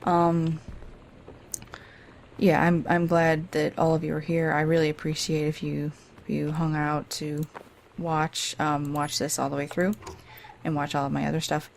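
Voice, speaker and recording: Woman, deep voice, vocal fry, casual game commentary, earnest, reflective, hesitant, faint background noise. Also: monotone, a little slow, pauses often. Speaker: let's play video creator.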